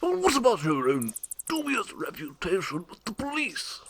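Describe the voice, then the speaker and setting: Man acting a fretful, gravelly, husky old butler. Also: high-pitched, huge pitch swings, normal speed, fairly bright tone, no room sound, full character voice. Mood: worried. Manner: formal, deferential, pleading. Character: fretful, dutiful older butler to a masked vigilante. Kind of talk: radio drama acting.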